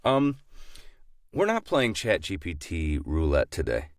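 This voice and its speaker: A man with a lowish voice co-hosts a podcast. His pitch moves around a lot, giving him an animated sound. He chats playfully in a dry, close sound. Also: a little slow, no room sound. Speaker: podcast co-host. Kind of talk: casual podcast chat.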